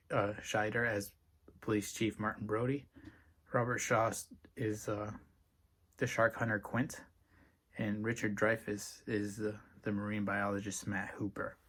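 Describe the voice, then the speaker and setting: A young man giving a class lecture, talking casually with dry joking asides, his voice a little rough from being sick. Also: lowish voice. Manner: dry jokey asides, plain delivery. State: voice recovering from strep, a little rough. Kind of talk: casual recorded class presentation.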